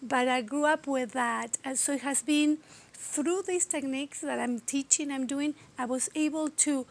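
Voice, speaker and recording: A middle-aged woman from Argentina with an Argentine accent and a slightly raspy voice, speaking earnestly as an interview guest. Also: sits high, fairly even intonation. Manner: earnest, unhurried, restarts phrases. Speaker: guest, teacher of voice and body techniques. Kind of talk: conversational interview.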